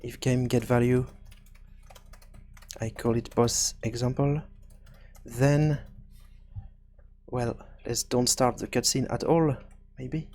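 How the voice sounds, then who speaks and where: A man presenting an unscripted programming tutorial, hesitant and halting as he thinks aloud. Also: mid-range voice, fairly even intonation, a little mellow.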